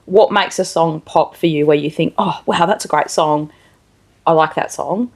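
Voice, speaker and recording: A woman's voice: deep voice, normal speed. A woman hosting a casual interview, asking questions conversationally. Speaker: interviewer and host. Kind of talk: casual chat.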